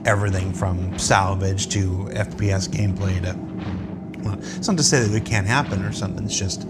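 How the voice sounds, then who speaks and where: A man with a fairly low voice hosts an interview in a conversational way. He is wordy and deliberate, often circling back on his phrases. Loud music plays behind him. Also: fairly even intonation. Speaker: interview host.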